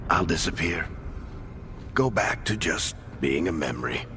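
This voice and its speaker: An actor playing a warm, weary, wry mentor saying farewell in a cinematic video game, speaking informally and unhurriedly. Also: male voice, low-pitched, lively intonation, a little slow, slight background noise, exaggerated voice. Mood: gentle, wry farewell. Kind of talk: cinematic game dialogue acting. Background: music.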